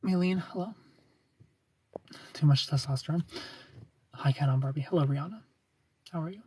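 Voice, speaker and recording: A man's voice: a little high, moderate pace, noisy background. A man in his thirties hosting a casual livestream, reading viewer comments aloud, joking about himself and laughing often. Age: 30s. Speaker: livestream host.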